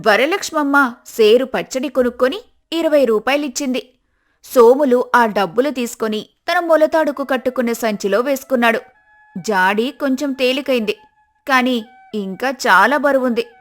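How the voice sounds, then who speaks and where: High-voiced woman storyteller. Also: normal speed, soft background music. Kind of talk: scripted story narration.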